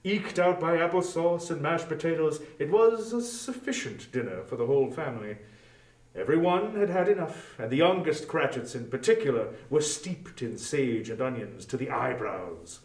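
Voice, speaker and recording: A man's voice: a little high, expressive, moderate pace. A man narrating a story as a scripted dramatic reading, recorded at some distance from the microphone. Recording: roomy echo, distant from microphone.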